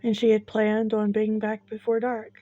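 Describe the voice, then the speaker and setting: A woman narrating a scripted horror story in a slow, hushed, suspenseful manner with long deliberate pauses. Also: mid-range voice, completely flat delivery. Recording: dull lo-fi, music bed behind. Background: background music.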